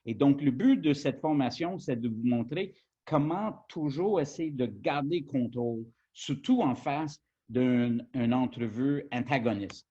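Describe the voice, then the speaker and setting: Anglophone man speaking French, halting. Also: medium pitch, expressive, warm tone, breathy edge, highs cut off. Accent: English-speaking Canadian accent in French. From Quebec, Canada. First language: English. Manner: hesitant, many fillers. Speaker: journalism trainer. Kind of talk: webinar presentation.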